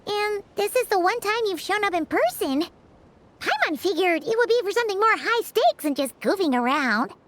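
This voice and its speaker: A woman voicing a small, childlike, excitable fairy companion in a video game, with a squeaky, chirpy voice. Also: normal speed, full character voice. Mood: flustered, blunt. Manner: refers to self in third person, chirpy.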